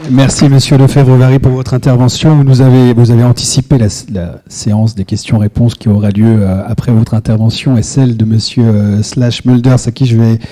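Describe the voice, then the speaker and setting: A man's voice: mid-range voice, flat delivery, a little fast, few pauses, mellow, a faint crowd. A man moderating a public round table, formally introducing the speakers. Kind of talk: moderating a panel.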